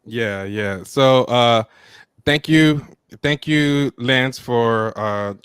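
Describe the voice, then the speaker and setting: A man in his thirties co-hosting a casual livestream chat from home, joking at his own expense, laughing often and pausing on fillers. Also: medium pitch, fairly bright tone, smooth, dry recording, studio quality. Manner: self-deprecating, laughs often, trails off with fillers. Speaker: podcast co-host. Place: home room with plants and posters. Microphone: studio mic with headset, on a video call.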